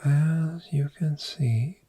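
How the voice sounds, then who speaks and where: A man voicing a friendly, gentle dragon in a soft-spoken, soothing ASMR roleplay, with a breathy whisper and long pauses. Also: a little high, full character voice. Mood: cozy, welcoming. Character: friendly, gentle cave dragon fond of sleep.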